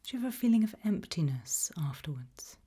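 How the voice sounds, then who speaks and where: Breathy, low-voiced British woman whispering ASMR. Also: big pitch swings. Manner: gentle, measured questioning. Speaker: ASMR roleplayer as an abduction investigator. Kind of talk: ASMR interview roleplay, mostly whispered.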